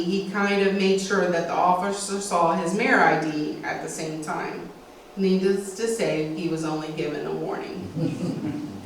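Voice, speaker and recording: Middle-aged American woman, script-reading speaker at a community history event. Very reverberant room, distant mic. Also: mid-range voice. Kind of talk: reading a prepared family history script aloud with occasional asides. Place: indoor meeting room with a small audience. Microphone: distant camera, speaker at a lectern. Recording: echoey room, distant mic, faint background noise.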